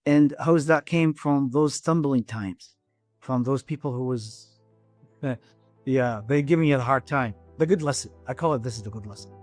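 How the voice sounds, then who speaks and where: A middle-aged man with an Iraqi Arabic accent, a guest on a podcast interview, talking haltingly with many restarts and repeated words. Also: mid-range voice, darkish tone, no room sound, faint music behind. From Iraq. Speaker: guest, engineering firm president.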